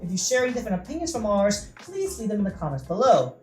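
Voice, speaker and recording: Lively-pitched woman reviewing a film. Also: quick pace, soft background music. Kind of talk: film review, scripted plot recap with banter.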